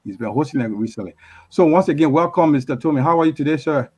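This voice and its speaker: A man hosting a political interview, introducing and prompting his guest in halting, repetitive phrases. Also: fairly high pitch, expressive, darkish tone, slightly rough. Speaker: host, interviewer.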